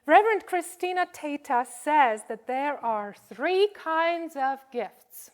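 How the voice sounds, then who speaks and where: A woman giving a conversational sermon to a congregation, speaking as a preacher in short, paused phrases. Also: high-pitched, animated.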